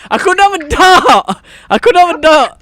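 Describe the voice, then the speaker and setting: A man's voice: very high-pitched, lively intonation, measured pace, a bit bright. A man chatting playfully with friends while gaming, laughing and teasing often. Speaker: gaming channel host.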